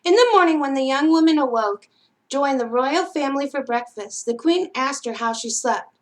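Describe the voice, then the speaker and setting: A woman's voice: high voice, lively intonation, moderate pace. A woman reading a storybook aloud to children as a storytime narrator. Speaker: children's storytime reader at a library.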